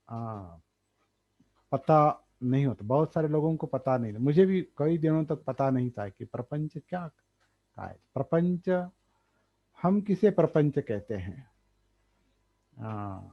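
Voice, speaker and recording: A man gives a dharma talk, thinking aloud, pausing often and repeating key words. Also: on the high side. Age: 60s. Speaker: meditation teacher. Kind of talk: slow explanatory dharma talk. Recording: dull lo-fi recording.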